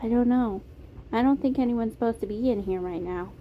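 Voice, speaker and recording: A woman's voice: on the high side, a little fast, close to an everyday voice. A woman voicing a chatty, curious, slightly wary young boy in a story reading. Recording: tinny phone-like audio, clear noise.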